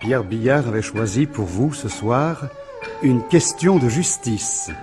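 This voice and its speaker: A man announces scripted radio credits at a leisurely pace. His voice sits at a middle pitch, over soft music. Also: lively intonation, slow pace.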